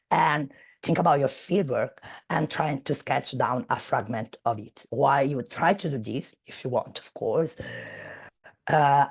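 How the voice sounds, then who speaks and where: Woman speaker on a video call, slow and measured, gravelly and husky. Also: very deep voice. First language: Italian. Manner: measured, searching for words. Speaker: guest speaker. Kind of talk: academic webinar talk.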